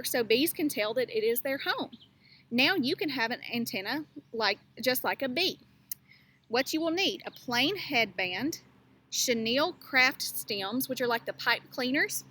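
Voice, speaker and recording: A woman teacher reading a picture book aloud to young children, pausing to explain things and ask them questions. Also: on the high side, sharp tone, a little hiss or hum.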